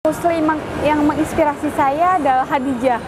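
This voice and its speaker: A woman's voice: high voice, fairly even intonation, moderate pace, background noise. A woman of twenty-four, speaking in a composed, formal way in an interview. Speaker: interviewee, model and architect. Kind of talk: personal testimony interview.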